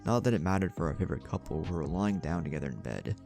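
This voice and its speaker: Deep, creaky male story narrator. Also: animated, fast, mellow, faint music behind. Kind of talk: dramatic scripted fan fiction narration.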